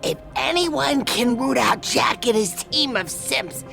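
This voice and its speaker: Woman doing a very creaky, timid demon henchman. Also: a little high, expressive, slow pace, a bit bright, faint music behind, heavily acted. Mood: eager, nervous. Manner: stammering, cringing. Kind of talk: audio drama table read.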